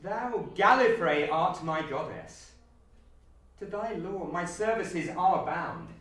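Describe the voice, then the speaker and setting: A man's voice: sits high, slow pace, a bit bright, pitched above the performer's own voice. A man auditioning as a grandiose, scheming Time Lord with pompous theatrical declaiming. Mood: grand, defiant. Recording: very echoey room, distant mic.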